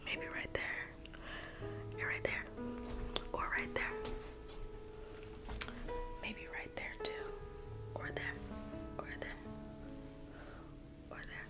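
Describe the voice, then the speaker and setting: Woman, whispered ASMR museum-guide read, very unhurried, soft music bed underneath. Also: very high voice, wildly swooping pitch. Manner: soft whispering, intimate and reassuring. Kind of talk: ASMR roleplay.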